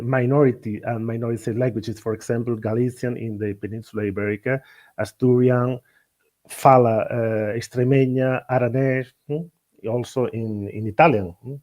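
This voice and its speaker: A man with a Spanish accent co-presenting an academic webinar, speaking haltingly and searching for words. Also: mid-range voice, fairly even intonation, a little mellow. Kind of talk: webinar lecture.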